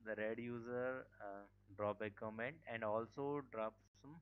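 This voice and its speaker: A man's voice: on the low side, measured pace, clear, resonant tone. A man teaching a live online security class, informal, full of fillers and "okay". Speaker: cybersecurity instructor. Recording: dull lo-fi call audio.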